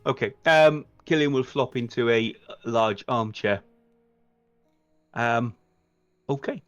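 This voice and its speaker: A man runs a tabletop roleplaying game with measured, halting narration. His mic is dry and a bit dull, and music plays faintly underneath. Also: mid-range voice, no echo. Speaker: game master. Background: background music.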